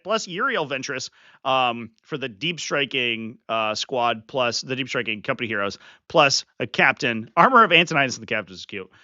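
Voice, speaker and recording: A man's voice: a little high, pushed and bright, no room sound. A man hosting a live strategy stream, talking casually and animatedly with chuckles and fillers, sniffly from a runny nose. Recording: slightly muffled, dry sound.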